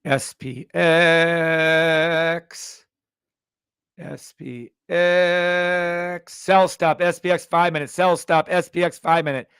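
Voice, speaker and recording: Brash, creaky-voiced trading stream host. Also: male voice, on the high side, fairly even intonation, extremely slow, a bit bright, no echo, clean studio sound. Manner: brash, profane, calls out orders in bursts. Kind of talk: live trading commentary with viewers.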